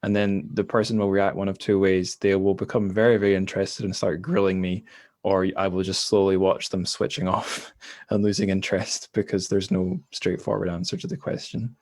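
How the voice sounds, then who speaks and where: A man's voice: low voice, fairly even intonation, moderate pace, darkish tone, no echo, clean studio sound. A Scottish man hosting a podcast interview in casual chat, rambling with self-deprecating asides. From Scotland. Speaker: podcast host.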